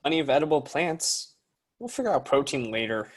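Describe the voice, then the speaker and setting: Man playing cautious, firm, higher-voiced young man. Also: very animated, fairly quick. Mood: practical. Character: cautious, practical young man in survival adventure.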